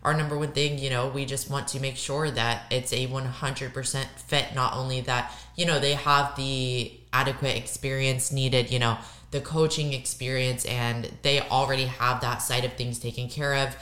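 A young woman co-hosting a casual podcast, hoarse and raspy from losing her voice, emphatic and repeating words for stress. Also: very deep voice, level tone, normal speed, fairly bright tone, roomy sound. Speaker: podcast co-host.

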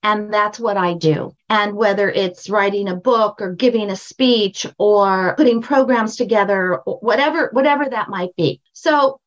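An older woman with a high voice, a guest on a podcast interview, telling stories with emphatic repetition. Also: unhurried, hardly any pauses, muffled recording. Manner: emphatic, storytelling, repeats phrases for stress. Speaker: guest, brand strategist and book coach.

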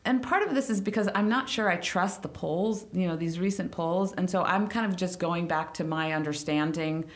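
A woman's voice: lowish voice, normal speed. A woman whose first language is Hungarian, a podcast guest talking politics in a thoughtful, hedging way. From United States. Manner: thoughtful, hedging, with small laughs. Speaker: podcast guest, former congressional staffer. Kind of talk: casual podcast conversation.

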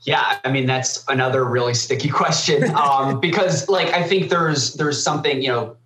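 A man's voice: medium pitch, normal speed, fairly bright tone. A man speaking as a podcast guest, thoughtful and hedging with frequent fillers. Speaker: guest, former army cyber officer. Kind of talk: casual podcast discussion. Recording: echoey room.

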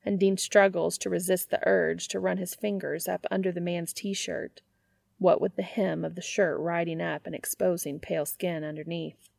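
A woman reading scripted fiction as an audiobook narrator, with expressive storytelling. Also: lowish voice, moderate pace, creaky, dry recording.

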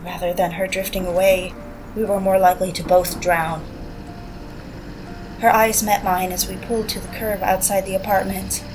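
A woman's voice: mid-range voice, level tone, normal speed, music in the background, natural voice, rough recording. A woman playing a self-reproaching young woman who narrates her story in a reflective, confessional, dramatic way. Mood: brooding, uneasy. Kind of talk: scripted first-person drama narration.

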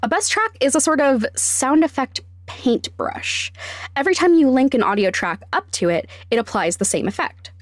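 Dry, higher-pitched woman podcast host. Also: lively intonation, no room sound. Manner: deliberate, emphatic step-by-step phrasing. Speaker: podcast host, sound designer. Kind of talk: scripted instructional podcast narration.

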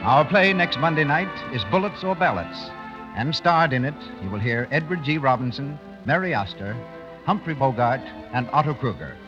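A man's voice: medium pitch, lively intonation, moderate pace, in a large hall, over music. A man working as a radio announcer, reading a script in 1930s broadcast style on an old muffled recording.

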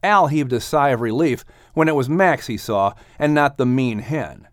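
A man narrating a children's audiobook in an expressive, scripted storytelling style. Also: mid-range voice, moderate pace, dry recording, studio quality.

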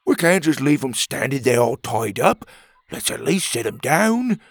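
A man's voice: medium pitch, very animated, unhurried, gravelly, dry recording, studio quality, exaggerated voice. A man voicing an easygoing male platypus sidekick in a storybook, speaking casually and coaxingly.

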